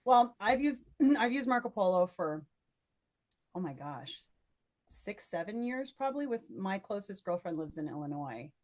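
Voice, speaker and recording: An American woman telling stories in an expressive, informal way as a podcast co-host, often saying "like". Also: medium pitch, normal speed. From United States. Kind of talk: casual chat, storytelling.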